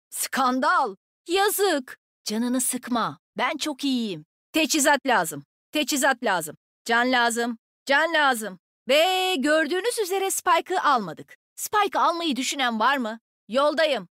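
Young female shooter-game agent, high voice, swooping intonation, brash, sassy, cocky. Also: a bit bright, no room sound, clean studio sound. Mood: cocky, taunting. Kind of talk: video game combat voicelines.

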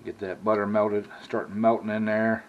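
A man's voice: fairly low pitch, flat delivery, narrow, tinny audio. An American man in his sixties with a folksy manner, casually talking through a cooking demonstration as a home cook. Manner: folksy, drawn-out asides with y'all. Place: home kitchen, indoors at the stove. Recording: clearly noisy home kitchen recording.

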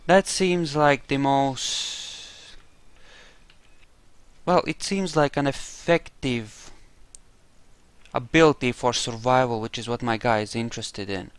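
A man's voice: medium pitch, steady tone, takes it slowly, pauses often, clean tone, dry recording. A man giving casual commentary on a role-play game playthrough, musing and thinking aloud as he goes.

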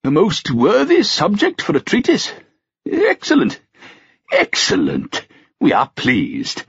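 A man voicing a frail, sentimental elderly king, in a high, slow and trembling voice. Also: huge pitch swings, vocal fry, husky, dry recording, exaggerated voice. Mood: pleased. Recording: dull, dry, lo-fi sound.

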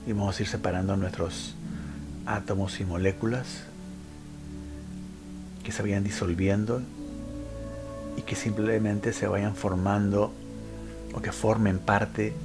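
A man leading a guided meditation, giving instructions in short phrases with frequent pauses. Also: on the low side. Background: background music.

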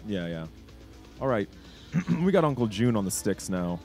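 A man's voice: fairly low pitch, very animated, moderate pace, gravelly, darkish tone, soft background music. A man hosting a live show in a casual, chatty style. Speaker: co-host.

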